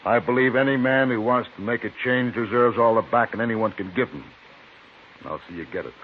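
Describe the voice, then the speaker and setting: A man's voice: medium pitch, fairly quick, natural voice. An American actor playing an earnest, stubborn, principled frontier townsman in an old radio western. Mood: firm, resolute. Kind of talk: 1950s radio western acting. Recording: tinny, noisy old radio recording.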